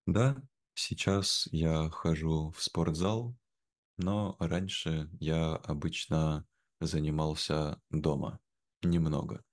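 A young man speaking in a measured, careful way, as a guest in a slow conversation for language learners. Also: very deep voice, steady tone. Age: young adult. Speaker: interview guest. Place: indoor room at home, video call. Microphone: video call, wearing headphones.

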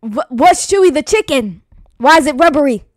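A woman's voice: sits high, lively intonation, moderate pace, no room sound, clean studio sound. A woman playing a sassy, exasperated granddaughter who bosses everyone around in an improvised amateur roleplay. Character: sassy, jumpy grown granddaughter taking charge.

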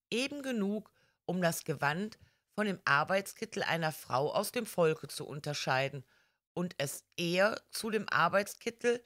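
A woman narrating a story as an audiobook reader, with careful, measured, scripted delivery. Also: lowish voice, pushed and bright, dry recording, studio quality.

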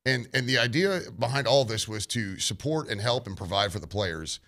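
A man's voice: medium pitch, lively intonation, moderate pace, sharp tone. A man co-hosting a sports radio show, talking in a heated, rambling, opinionated way.

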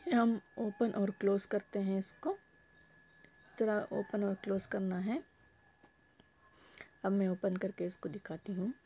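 A woman's voice: medium pitch, fairly even intonation, slow pace, pauses often, warm tone, breathy edge. A woman vlogger casually narrating an unboxing at home, explaining each step in a homely way. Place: home, table top.

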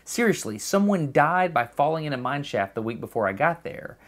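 Lively Southern man explaining with excitement. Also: fairly high pitch, brisk. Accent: Southern American. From Alabama, US. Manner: curious, excitable, asks many questions. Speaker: science video host. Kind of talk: enthusiastic explainer narration. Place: home office; outdoor desert site.

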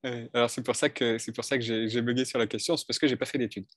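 A man's voice: medium pitch, steady tone, fast talker. A man in his thirties from northern France speaks as a coach recounting his past. He is thoughtful and hesitant, often restarting his sentences. His headset mic gives a close, dry sound with no room echo. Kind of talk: telling his life story in an interview. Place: bright indoor room. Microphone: headset on video call.

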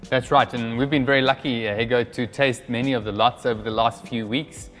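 A middle-aged man, speaking as a wine specialist in a measured way with frequent hesitant fillers, standing in a decorated indoor room. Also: medium pitch, steady tone, a bit bright. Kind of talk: conversational presentation.

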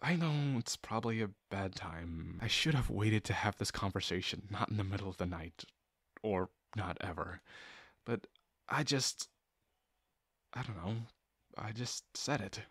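Casting: male actor for sincere, lovestruck young best friend in intimate audio roleplay; slow, halting delivery, very expressive pitch. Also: breathy edge, no room sound, studio quality, full character voice. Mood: nervous, tender. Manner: hesitant, halting, full of pauses and sighs. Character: shy, sincere young man secretly in love with best friend.

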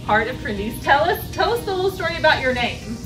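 A woman's voice: high voice, sing-song, fast. An American woman in her thirties hosting an upbeat promotional interview with gushing enthusiasm. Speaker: host, realtor. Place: indoors at a restaurant bar. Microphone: camera a few feet away. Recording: distant mic, music behind. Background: music.

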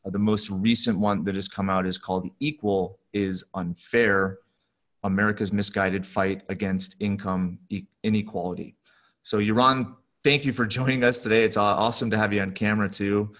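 A middle-aged man hosting a conversational podcast interview over video call, speaking haltingly and rephrasing as he goes. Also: on the low side, level tone, fairly bright tone. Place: small furnished room at home or office, on a video call.

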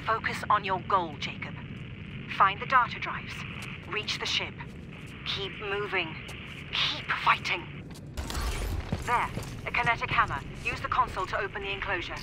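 A man's voice: sits high, moderate pace, long pauses, background noise, lightly acted. A man voicing a remorseful scientist who urgently guides the hero by radio in a video game. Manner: urgent, pleading. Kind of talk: video game cutscene acting. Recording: game audio over loud music.

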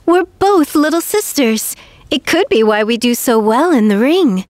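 A woman's voice: sits high, lively intonation, measured pace, darkish tone, no room sound. A woman voicing a polite, earnest young princess warrior in an anime game. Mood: warm, earnest.

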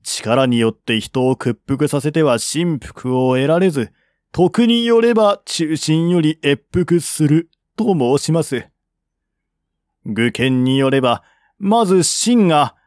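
A man's voice: on the high side, a little mellow, dry recording, clean studio sound. A man voicing an earnest, persuasive envoy of ancient China in a historical audiobook, speaking formally and deferentially. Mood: earnest advising.

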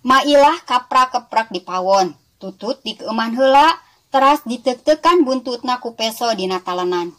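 A woman's voice: fairly high pitch, lively intonation, normal speed, fairly bright tone. A woman with a West Java Sundanese accent narrating a scripted children's story expressively, as a storyteller addressing young listeners. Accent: Sundanese-speaking West Java.

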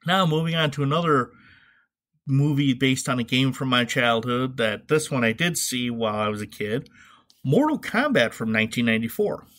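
Middle aged guy doing a casual funny movie podcast, bright punchy voice, wry and full of opinions. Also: medium pitch, lively intonation, moderate pace. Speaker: podcast host. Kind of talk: casual comedic movie review commentary.

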